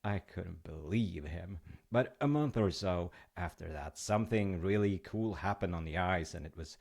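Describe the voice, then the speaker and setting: Male actor as comforting, slightly goofy dad for intimate roleplay: very low, gravelly, soothing, conversational. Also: lively intonation, normal speed, darkish tone, heavily acted. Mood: fond storytelling. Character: gentle, reassuring, slightly goofy dad comforting his child. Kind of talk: intimate roleplay monologue with storytelling.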